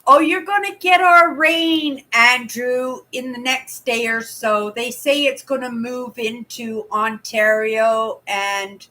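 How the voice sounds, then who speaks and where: A Canadian woman in her sixties talks slowly and at length to her livestream viewers, wandering from one story to the next. Her voice is bright and clear. She sits at a webcam in a room at home and greets her chatters as she goes. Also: on the high side. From Vancouver, Canada. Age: 60s. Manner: chatty, rambling, reads out comments. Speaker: livestream host. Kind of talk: casual livestream chat. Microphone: webcam at desk.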